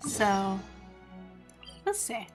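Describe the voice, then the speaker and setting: A woman's voice: mid-range voice, sing-song, drawn-out words. A woman doing casual livestream commentary on a video game, chatty and giggly. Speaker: game streamer. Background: game music.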